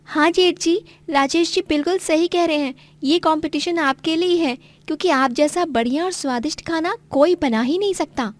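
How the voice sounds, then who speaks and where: Woman as young sister-in-law, high-pitched, respectful, encouraging, moral-story acting. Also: moderate pace, few pauses, no echo, lightly acted. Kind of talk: dramatized Hindi moral story.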